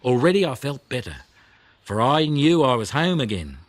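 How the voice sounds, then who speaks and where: A man's voice: mid-range voice, sing-song, moderate pace, no room sound, lightly acted. A man voicing a chatty, motherly female koala who tells of her plight in a dramatic, plaintive way for a children's audiobook. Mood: reassuring, relieved. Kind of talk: children's audiobook character reading.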